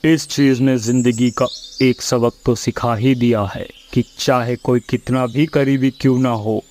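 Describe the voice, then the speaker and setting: A man's voice: mid-range voice, darkish tone, slight background noise, close to an everyday voice. A man voicing plain-spoken, earnest male animals in a children's cartoon fable.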